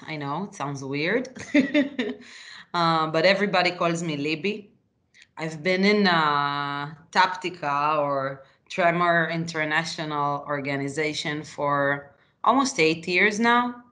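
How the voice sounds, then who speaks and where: Low, very bright Israeli-accented woman. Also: slow pace. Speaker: managing director, panelist. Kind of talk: informal self-introduction.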